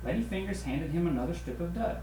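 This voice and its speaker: A man narrates a children's storybook in the role of a teacher. His tone is soft-edged and mellow. The recording has clear room echo and noise behind it. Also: on the high side, expressive, quick pace. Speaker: teacher reading a storybook aloud. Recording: roomy echo with noisy hiss.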